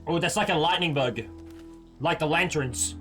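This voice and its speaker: High bright guy streaming a game, swears a lot, slangy and blunt, faint music behind. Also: moderate pace. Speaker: gaming streamer. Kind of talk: casual game reaction commentary.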